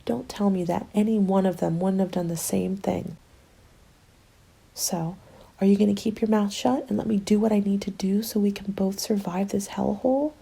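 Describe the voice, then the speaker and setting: A woman playing a cynical, scheming apocalypse survivor in an ASMR roleplay, breathy and often whispering, taunting and self-justifying. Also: medium pitch, steady tone, normal speed, dark tone. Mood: defensive, accusing. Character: cynical, defiant woman survivor hoarding food, selfishly scheming.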